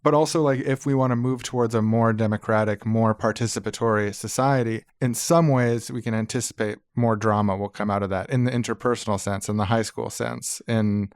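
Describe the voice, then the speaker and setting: A man's voice: lowish voice, steady tone, a little fast, hardly any pauses, dry recording. Analytical male podcast host, dry close recording. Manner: analytical, wordy. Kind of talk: discursive podcast discussion.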